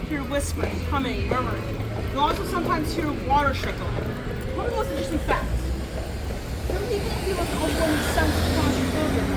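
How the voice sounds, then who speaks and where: A woman from Michigan guides a ghost tour through city streets at night, her fairly high voice carrying dry, easygoing humor. She is captured on raw phone footage from a few steps away. Loud street noise, passing cars and clip-clopping hooves nearly drown her out. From Michigan, USA. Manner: relaxed storytelling with dry humor and asides to the group. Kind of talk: guided tour storytelling to a group. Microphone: audience phone recording the guide from a few steps away.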